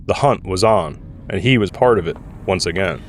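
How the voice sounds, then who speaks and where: A man's voice: low voice, moderate pace, soft background music. A man reading scripted story narration for an audio drama. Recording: faint ambient noise behind.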